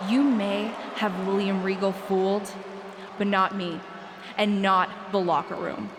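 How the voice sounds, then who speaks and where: A woman's voice: medium pitch, steady tone, a little slow, lightly acted. Woman playing a vengeful wrestler in a reverberant arena. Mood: accusing. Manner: mocking, accusatory. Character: vengeful sardonic female wrestler confronting a rival. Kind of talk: scripted wrestling promo. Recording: long arena reverb, clear background noise.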